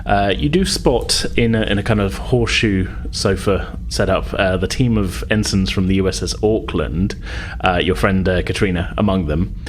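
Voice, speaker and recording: A man's voice: low-pitched, moderate pace, hardly any pauses. A man narrating a tabletop game as game master, informal and descriptive, with a hesitant, improvised delivery.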